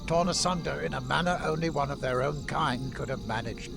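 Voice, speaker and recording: A man's voice: mid-range voice, a little fast, vocal fry. A man voicing a wary, stern wizard councillor with formal, grave delivery in a fantasy game cutscene. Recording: voice under loud music.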